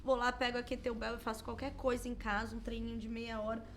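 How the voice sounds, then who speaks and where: A Brazilian woman in her thirties chats casually as a podcast co-host. Her voice sits a little high, and her storytelling is animated, with quoted lines acted out. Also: fast talker, slight background noise. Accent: Brazilian Portuguese. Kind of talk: casual chat.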